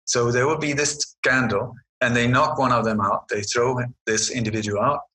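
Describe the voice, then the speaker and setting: A man's voice: mid-range voice, fairly even intonation, moderate pace, pushed and bright, rough recording. A middle-aged man from Sweden, answering interview questions in an emphatic, sarcastic storytelling manner. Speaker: guest researcher.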